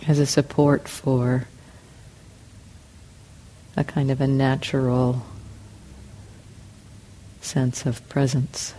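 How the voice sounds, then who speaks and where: A woman teaching a guided meditation in calm, measured short phrases with long pauses between them. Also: a bass voice. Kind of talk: guided meditation, slow spoken instructions.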